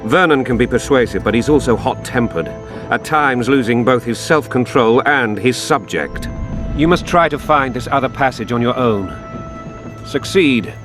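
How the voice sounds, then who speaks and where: A man's voice: mid-range voice, over music, lightly acted. Regal commanding king played by a man. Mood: imperious, calculating. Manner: regal, measured, authoritative. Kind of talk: video game acting.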